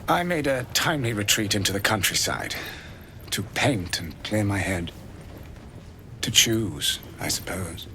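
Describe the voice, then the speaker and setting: Dramatic artist in a game, talks slow w big swings in pitch, florid. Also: male voice, animated, pushed and bright, a little hiss or hum, full character voice. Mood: wistful, troubled. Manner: florid, theatrical, hesitant. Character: florid, lovelorn male fantasy painter, theatrical and self-dramatizing. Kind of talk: video game character dialogue. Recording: game dialogue over background music.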